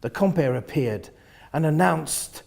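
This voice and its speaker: A man with a husky voice reading first-person literary fiction in an expressive, theatrical manner, often hushing the ends of phrases. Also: on the high side, unhurried. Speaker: reader of a novel.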